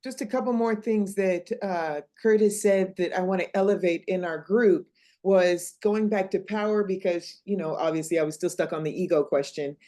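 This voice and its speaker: A woman's voice: on the low side, moderate pace, dark tone. Earnest woman reporting back on a call. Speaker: fellow reporting back from a small group. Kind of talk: casual group discussion. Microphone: video call.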